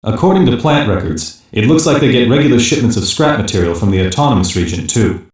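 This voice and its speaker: Guy playing a serious police investigator giving factual briefings, sci-fi game, kinda low, distant with lots of echo. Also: expressive, lightly acted. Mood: sober. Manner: measured, factual reporting. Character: sober male security-police intelligence investigator. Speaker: investigator reporting to chief. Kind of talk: English animated sci-fi game voice acting. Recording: very echoey, distant-sounding game audio.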